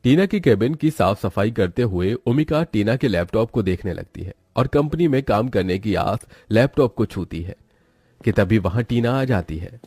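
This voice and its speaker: A man narrates a scripted moral tale. His voice sits a little low and has a soft, dark tone. The recording is close and dry. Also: lively intonation, moderate pace, slightly rough, no room sound.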